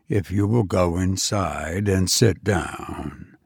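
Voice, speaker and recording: A man voicing robot teachers that calmly and flatly insist people go inside and sit down. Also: low voice, slow, creaky, slightly rough, no room sound, clean studio sound, voice pushed down, heavily acted. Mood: insistent. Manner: flat, insistent, unbending. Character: cold calm robot teachers, sexless machines.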